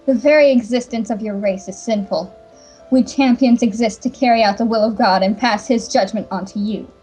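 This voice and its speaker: A woman's voice: on the high side, normal speed, dark tone, faint music behind, lightly acted, poor audio. A woman voicing a righteous, scornful human champion in an amateur game fandub. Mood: defiant.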